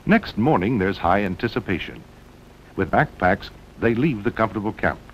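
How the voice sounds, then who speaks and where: A man's voice: lively intonation, moderate pace. An American man narrating a 1960s promotional documentary film in a dramatic way, with punchy short phrases. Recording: muffled old film soundtrack with rumbling noise.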